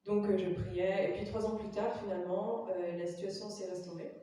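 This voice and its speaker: A woman's voice: mid-range voice, steady tone, brisk, dark tone, distant mic, slight background noise. Hesitant Belgian woman in echoey chapel. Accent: Belgian French. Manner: hesitant, many fillers. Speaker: testimony giver. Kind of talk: personal testimony in church, interpreted.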